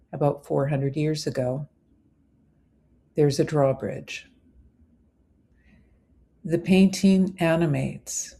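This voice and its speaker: A woman narrating a scripted guided visualization as a meditation host, in measured, deliberate phrases. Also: low voice, creaky, dark tone.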